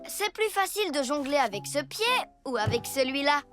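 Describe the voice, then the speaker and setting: A woman voicing an eager, earnest young boy rabbit in a preschool cartoon, with childlike, expressive delivery. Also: sits high, normal speed, soft background music. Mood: coaxing, playful.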